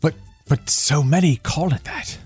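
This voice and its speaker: A man's voice: fairly high pitch, measured pace, darkish tone, slightly rough. A man playing a naive, hesitant hero on a quest in a radio comedy, earnest and prone to stammering. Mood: eager, pleading. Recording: music behind the voice.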